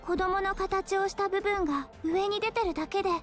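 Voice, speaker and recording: A woman's voice: extremely high pitch, racing, faint music behind. A woman voicing a young female anime game character, explaining things earnestly in game dialogue. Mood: reasoning. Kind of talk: Japanese game voice acting.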